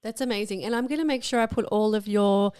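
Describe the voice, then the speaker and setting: A woman hosting a chatty podcast interview, effusive and encouraging, with a slightly croaky voice. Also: medium pitch, fast talker, darkish tone, no room sound, studio quality. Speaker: podcast host.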